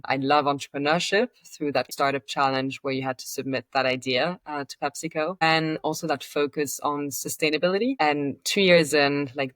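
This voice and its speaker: A young woman, answering interview questions with enthusiasm, in quick bursts with fillers and restarts. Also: extremely low pitch, a bit bright, no room sound. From Switzerland. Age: 20s. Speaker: startup founder, guest.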